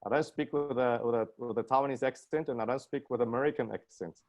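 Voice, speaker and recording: A man's voice: medium pitch, fast talker, darkish tone, muffled recording. Middle-aged man with a South African accent. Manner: self-deprecating, joking. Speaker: guest lecturer, plastic surgeon. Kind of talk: informal webinar lecture. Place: indoor room at home, video call.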